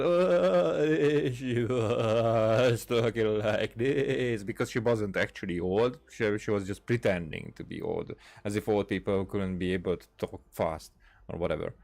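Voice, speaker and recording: Low-voiced man musing over a game. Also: vocal fry, darkish tone. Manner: hesitant, halting musing aloud. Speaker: gaming video host. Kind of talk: casual let's-play commentary.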